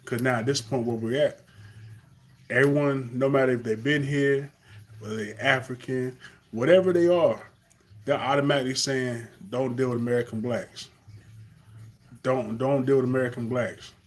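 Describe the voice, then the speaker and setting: A man's voice: medium pitch, fairly even intonation, moderate pace, pauses often. A young man from Carolina with a Southern accent, talking earnestly off the cuff in a live stream monologue, with fillers and restarts.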